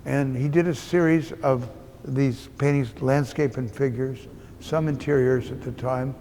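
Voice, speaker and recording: An elderly man guides an informal art tour in a voice full of fry and gravel. He speaks haltingly and deliberately, with a deadpan joking streak. His middling-pitched voice rings through a very reverberant indoor gallery. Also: slight background noise. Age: 80s. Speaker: art gallery owner giving an exhibition tour. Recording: long echo of a hard-walled gallery.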